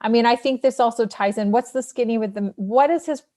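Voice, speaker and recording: A woman's voice: fairly high pitch, brisk, dark tone. A woman asking questions in an informal, playful way as she moderates a casual panel chat.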